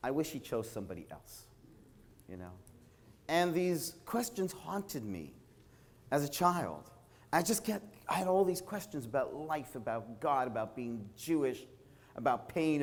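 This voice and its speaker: A middle-aged male rabbi with a Brooklyn accent delivers an inspirational religious talk. His voice sits at a medium pitch and carries the long reverb of a large hall. He speaks conversationally, telling personal stories and checking in with his listeners. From Toronto, Canada. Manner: conversational, anecdotal, checks in with "right?".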